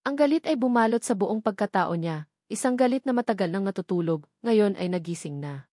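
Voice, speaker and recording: A woman's voice: mid-range voice, expressive, brisk, mellow, no room sound. Woman narrating a drama, dry close sound. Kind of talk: scripted dramatic story narration.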